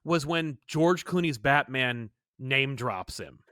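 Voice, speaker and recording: A man's voice: medium pitch, slow, bright, forceful tone, no echo, studio quality. A man hosting a podcast in casual chat, joking and turning theatrical when he quotes people. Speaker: podcast host.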